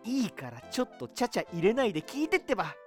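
A man playing an excitable, lazy, girl-starved university student with a whiny manner in an amateur radio drama. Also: high voice, fast talker, faint music behind. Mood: excited.

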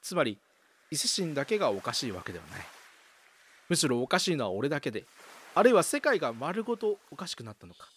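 A man's voice: on the high side, big pitch swings, quick pace, breathy edge. A man playing a bewildered, hesitant young man in an amateur radio drama. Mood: brooding, unsettled. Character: bewildered young man lost in a parallel world. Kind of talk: amateur radio drama dialogue and inner narration.

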